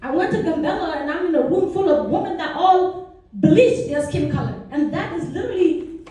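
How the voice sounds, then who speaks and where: A woman's voice: very high voice, expressive, normal speed, dark tone, very echoey, recorded from a distance. A young woman from South Sudan telling her personal story to a meeting, earnest and chatty with many asides. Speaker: speaker. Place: meeting room.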